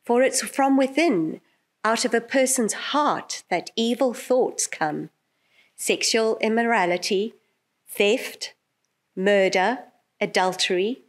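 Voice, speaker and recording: Slow-paced woman reading scripture. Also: fairly high pitch. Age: middle-aged. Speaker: pastoral carer, Bible reader. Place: indoor chapel at a lectern. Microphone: gooseneck lectern microphone.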